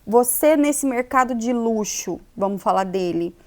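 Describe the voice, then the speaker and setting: A woman's voice: on the high side, animated, unhurried. A woman hosting a casual interview, chatty and often ending her remarks with tag questions. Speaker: interviewer, magazine host.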